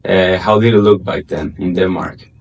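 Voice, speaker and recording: A man's voice: deep voice, normal speed, gravelly, a little hiss or hum, rough recording. A man co-hosting a casual podcast interview, asking rambling questions full of likes and restarts. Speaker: podcast co-host.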